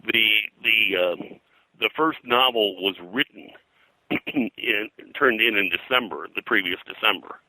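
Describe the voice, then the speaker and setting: Older male historian as interview guest: very low pitch, hard-edged bright tone, halting, detail-heavy recollection. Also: no room sound, rough recording. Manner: halting, discursive, scholarly detail. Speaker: guest, comics and pulp fiction historian. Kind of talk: interview conversation, recounting history.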